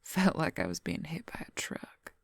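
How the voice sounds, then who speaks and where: A woman's voice: sits low, very animated, moderate pace, no echo, heavily acted. A woman playing a possessive, wry female werewolf lover in an intimate, confiding whispered ASMR roleplay, close to the microphone. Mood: hushed, ominous. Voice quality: breathy, husky whisper. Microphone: close microphone, dry.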